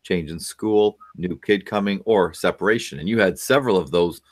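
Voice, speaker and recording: A man's voice: low-pitched, normal speed. A middle-aged man giving parenting advice over a video call from a home office, lecturing and asking many questions. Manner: lecturing, asks many questions, frequent fillers. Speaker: parenting expert guest.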